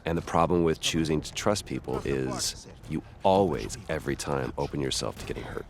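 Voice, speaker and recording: A man's voice: very deep voice, fairly quick, vocal fry. A man giving reflective commentary on a story and its characters. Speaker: actor commentator.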